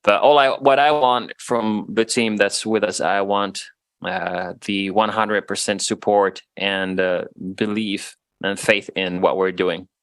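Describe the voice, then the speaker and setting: A man in his thirties from Finland, Swedish as his first language, chatting casually as a guest with frequent laughs and halting restarts. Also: on the low side, fairly even intonation, fairly bright tone, clear, resonant tone, no room sound. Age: 30s. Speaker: guest musician. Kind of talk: casual interview chat.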